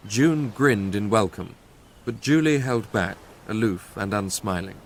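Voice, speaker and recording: An actor playing a young Englishman who recounts his past in a measured, literary way for a radio drama. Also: lowish voice, slight background noise, close to an everyday voice. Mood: measured recollection. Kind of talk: radio drama first-person narration.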